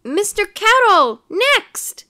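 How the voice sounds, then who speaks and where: A woman voicing pushy, lovestruck village ladies in a storybook, calling out insistently with drawn-out cries. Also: extremely high pitch, sing-song, bright, forceful tone, pitched above the performer's own voice, full character voice. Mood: demanding, swooning.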